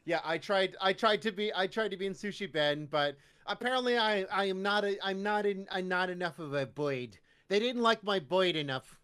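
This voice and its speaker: A man's voice: high voice, moderate pace, hardly any pauses, a bit bright, clear, resonant tone. A Canadian man chatting casually as a podcast guest, animatedly slipping into mock voices when he quotes people. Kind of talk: casual interview chat.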